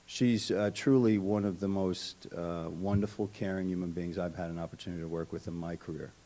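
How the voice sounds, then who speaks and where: Low-voiced man giving a tribute. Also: fairly even intonation, fairly quick, mellow. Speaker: school administrator giving a tribute. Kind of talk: prepared tribute speech with informal asides. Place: public school board meeting room.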